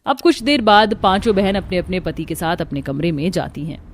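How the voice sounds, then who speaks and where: A woman's voice: fairly low pitch, expressive, brisk, faint music behind. A woman narrator reading a scripted cartoon family story.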